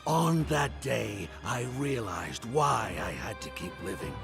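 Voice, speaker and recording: Enio, an aged, brooding troupe leader. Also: male voice, lowish voice, animated, gravelly, full character voice. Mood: mournful. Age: older. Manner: slow, brooding and solemn. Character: aged, grieving male troupe leader, guilt-driven. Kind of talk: game cutscene voice acting. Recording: music score behind the voice.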